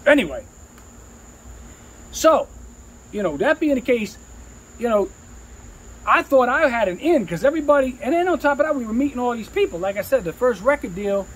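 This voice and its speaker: A man in middle age with an unusually high-pitched voice chats through stories of his rap career. His phrasing is loose, slangy and profane, and he repeats lines for emphasis. There is faint ambient noise in the background. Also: rough recording. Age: middle-aged. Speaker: rapper telling career stories. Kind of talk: casual storytelling chat.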